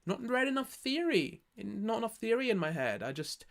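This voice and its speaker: A young man from Scotland with a Scottish accent softened by years in England, casually chatting on a live stream and reading his chat, rambling and restarting his sentences often. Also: high-pitched, sing-song, normal speed, gravelly. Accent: Scottish, softened by living in England. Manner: rambling, self-correcting, lots of restarts.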